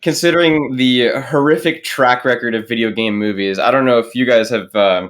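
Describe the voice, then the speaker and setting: A man with a medium-pitched voice hosts an informal podcast. He steers the chat conversationally and keeps his language fairly clean. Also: moderate pace, fairly bright tone. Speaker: podcast host. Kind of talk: casual chat, moderating.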